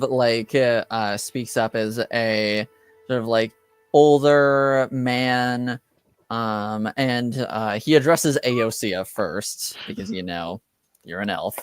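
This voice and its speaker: A man runs a tabletop roleplaying game as game master. His voice is clear and smooth, and he narrates slowly, feeling his way haltingly through each description. Music plays behind him. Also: medium pitch, dry recording. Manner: halting, hesitant, searching for words.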